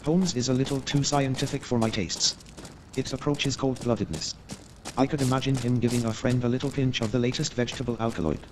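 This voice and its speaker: An actor playing a courteous, gossipy Victorian gentleman in a staged radio play, formal and measured in his hesitant explanations. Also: medium pitch, steady tone, noisy background, natural voice. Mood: uneasy, explanatory.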